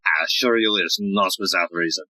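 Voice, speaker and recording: A woman playing a bumbling, earnest, oblivious police inspector in a farcical audio play, with a husky, theatrical delivery. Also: very deep voice, fairly quick, pushed and bright, no echo, exaggerated voice. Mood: earnest, pompous. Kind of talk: farcical audio drama acting.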